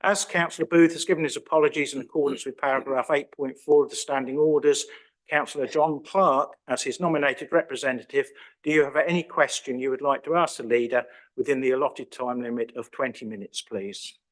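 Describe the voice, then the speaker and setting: A man chairing a council meeting, reading procedure in a measured, formal way. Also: mid-range voice, fairly even intonation, low-quality recording. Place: council chamber.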